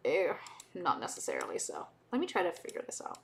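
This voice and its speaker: Chatty woman, animated delivery. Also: fairly low pitch, fairly quick, vocal fry, very noisy. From Southern United States. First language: Hebrew. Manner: rambling, chatty, lots of restarts and asides. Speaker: livestream host. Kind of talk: casual livestream chat.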